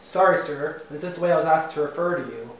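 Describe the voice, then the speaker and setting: Young guy doing a stiff polite mob advisor, kinda high voice, echoey and far off. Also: fast talker, lightly acted. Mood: deferential. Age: young adult. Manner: formal, polite, briefing-like. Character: young male advisor Osric, formal and deferential. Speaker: actor in an amateur mob drama. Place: living room in a house. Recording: roomy room audio from a far camera, faint hiss.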